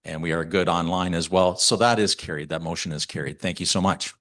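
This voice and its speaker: A man chairing a church council meeting, reading out names haltingly and repeating words as he goes, in a meeting room. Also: deep voice, steady tone, fairly bright tone. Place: meeting room, also streamed online.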